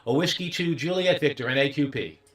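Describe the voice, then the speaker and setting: A man's voice: a little high, fairly quick, a bit bright. A man working as an amateur radio operator, making repetitive contest calls using the phonetic alphabet.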